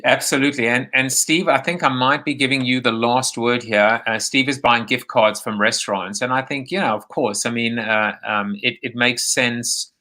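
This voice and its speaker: A man's voice: mid-range voice, fairly even intonation, moderate pace, few pauses, pushed and bright. A man with a South African accent hosting a live online interview show from home, talking in a rambling way with many restarts and fillers. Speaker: host. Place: home, remote video call.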